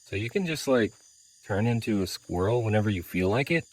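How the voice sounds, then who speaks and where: A man's voice: lowish voice, fairly quick, low-quality recording. A man playing a big, easygoing robot adventurer with a casual, protective manner in an audio drama. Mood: friendly. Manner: casual, calm, conversational.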